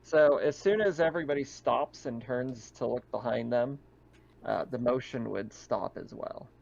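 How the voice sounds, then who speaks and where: A man's voice: medium pitch, a little slow, creaky, darkish tone, dull audio. A man acting as game master, casually narrating a tabletop roleplaying session.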